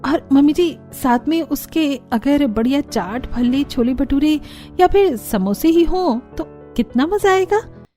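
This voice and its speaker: A woman's voice: sits high, lively intonation, measured pace, mellow, faint music behind, voice pushed up. A woman voicing an aggrieved, eager young daughter-in-law in a cartoon story, complaining and coaxing dramatically.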